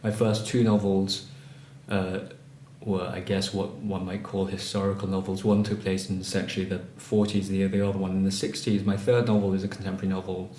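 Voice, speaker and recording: A man's voice: low-pitched, level tone, brisk, mellow, a little hiss or hum. A man from Malaysia answering interview questions about his novels, thoughtful and hesitant, with many ums and restarts. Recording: echoey room, faint ambience.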